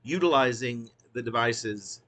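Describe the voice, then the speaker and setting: A man's voice: medium pitch, lively intonation, unhurried, a bit bright. A man who is a poet reading his own wry, literary work in a theatrical, emphatic way, drawing out phrases.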